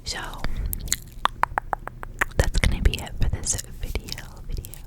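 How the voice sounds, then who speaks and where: Whispering woman, soft ASMR host. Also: measured pace. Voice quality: breathy, unvoiced whisper. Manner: soft, rambling, repeats words. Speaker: ASMR host reading books aloud. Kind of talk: whispered ASMR, reading and casual chat.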